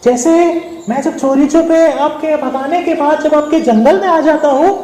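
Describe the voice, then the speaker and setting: High-pitched cheeky monkey, echoey. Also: male voice, normal speed, warm tone. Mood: earnest. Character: small cheeky talkative male monkey, wary but helpful. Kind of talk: puppet show dialogue. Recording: echoey hall with background noise.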